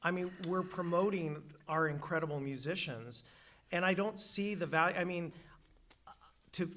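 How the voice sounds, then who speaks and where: A man's voice: fairly high pitch, pushed and bright. A man speaking candidly and emphatically as a panelist and moderator at a Q&A in a studio room.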